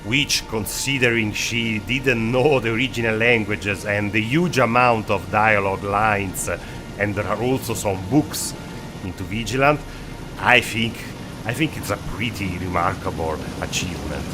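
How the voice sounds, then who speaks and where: A man hosting a game mod show, narrating an informal review with emphatic, halting phrasing. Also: on the low side, over music, poor audio.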